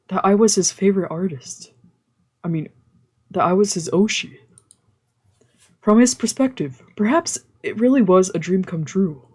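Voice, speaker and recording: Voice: mid-range voice, a little mellow, slightly rough. A streamer reading a visual novel aloud as storytelling narration, with casual musing asides. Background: visual novel background music.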